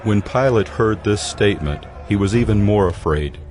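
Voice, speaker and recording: A man's voice: fairly low pitch, normal speed, a little mellow. A man narrating scripture from a script in a dramatized audio production.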